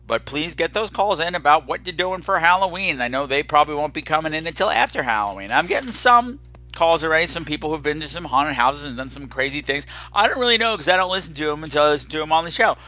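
A man's voice: fairly high pitch, animated, fast talker, sharp tone. A man hosting a podcast solo in a casual, chatty, campy and playfully dramatic way, rambling and self-deprecating. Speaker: podcast host.

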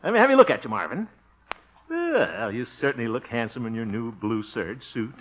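A man's voice: medium pitch, sing-song, normal speed, close to an everyday voice. An actor playing a fussy, proud, blustering father in a hearty 1950s radio comedy style. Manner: hearty, fussing, blustering, chuckling. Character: blustery, fussy, proud adoptive father, jolly small-town homemaker. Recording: dull, hissy old radio recording.

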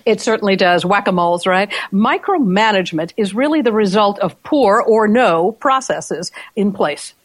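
A woman's voice: mid-range voice, animated, moderate pace, few pauses, no room sound. A woman hosting a business podcast who explains her points conversationally and keeps checking in with tag questions. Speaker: podcast host.

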